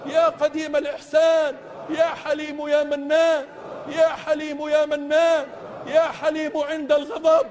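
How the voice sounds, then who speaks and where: A man's voice rings out in a long, cavernous reverb, pitched extremely high. He is an imam, leading prayer in chanted oratory. He pleads with passion, repeating his phrases. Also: darkish tone. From Tunisia. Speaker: imam leading congregational supplication. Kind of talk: Islamic supplication prayer in classical Arabic, chanted oratory. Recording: long echoing reverb of large space.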